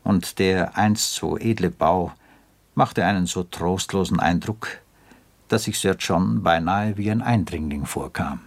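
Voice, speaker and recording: Deep, husky male narrator. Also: measured pace. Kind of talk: scripted literary narration for a radio drama.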